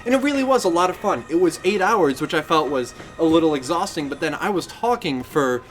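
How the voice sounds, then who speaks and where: Higher-voiced, slightly hoarse man hosting, noisy background. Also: animated, brisk. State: throat recovering from illness, slightly hoarse. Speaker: podcast host. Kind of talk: casual banter on a live podcast. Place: inside a game store.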